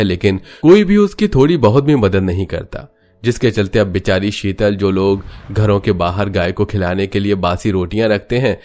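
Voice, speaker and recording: A man's voice: lowish voice, darkish tone. A man giving scripted narration for a cartoon moral story, as the narrator.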